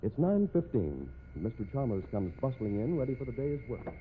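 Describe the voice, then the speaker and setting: A man with an American accent doing theatrical, measured 1950s radio announcing from a script. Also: medium pitch, very animated, noisy background. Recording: tinny old radio with music behind.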